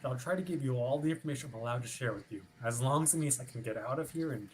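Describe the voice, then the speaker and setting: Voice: medium pitch, very fast, heavy background noise, natural voice. An actor in a staged play reading playing a chipper, rule-bound ship officer who is formal, wordy and politely stubborn. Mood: politely insistent. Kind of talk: staged reading of a play over video stream.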